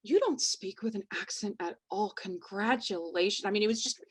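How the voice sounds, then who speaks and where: A woman with a slight Mexican American accent, a panelist on a video call, talking candidly and haltingly, with many restarts. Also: mid-range voice, husky. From Mexican heritage, US. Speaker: actress, panelist. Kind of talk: candid roundtable discussion.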